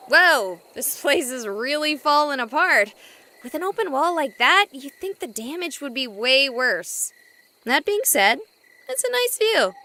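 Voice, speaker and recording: A woman playing an excitable, chatty young streamer exploring a haunted place in a visual novel, with an expressive, playful delivery. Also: high-pitched, a little hiss or hum, full character voice. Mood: excited, chatty.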